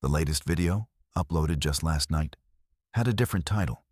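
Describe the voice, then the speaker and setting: A man tells scripted horror stories with an extremely low, rumbling voice. His delivery is measured and ominous, and the recording is dry, with no room sound. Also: darkish tone, no echo. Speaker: narrator.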